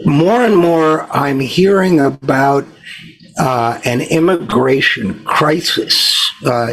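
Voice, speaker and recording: Male American interview host, husky and creaky voice, conversational and discursive with hesitant asides. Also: mid-range voice, fairly bright tone, low-quality recording. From United States, Utica, New York. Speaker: talk show host. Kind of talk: conversational interview with long setup question.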